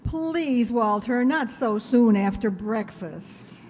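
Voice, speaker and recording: A woman playing a wry, lovelorn high school English teacher in a radio comedy, with dry, sardonic delivery. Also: expressive, slow pace, a little mellow, long reverb tail, a little hiss or hum. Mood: dry, pointed. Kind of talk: 1940s radio comedy acting.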